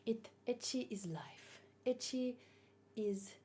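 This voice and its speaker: A woman's voice: on the high side, slow pace, warm tone, a little hiss or hum. A woman giving informal, opinionated commentary as she hosts a casual live read-along and talks with chat. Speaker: manga read-along host.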